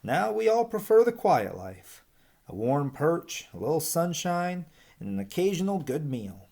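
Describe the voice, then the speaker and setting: A gabby old green snake from a storybook, acted out by a man. The voice lifts higher and moves in animated swoops, reminiscing with boastful nostalgia. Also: measured pace, creaky, exaggerated voice. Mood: nostalgic.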